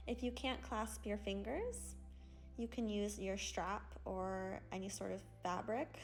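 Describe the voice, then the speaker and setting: Yoga teacher, young woman, sleepy gentle cues with a gravelly edge, small room, soft music under. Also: mid-range voice. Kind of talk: guided yoga class instruction. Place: small pink-lit room, indoors.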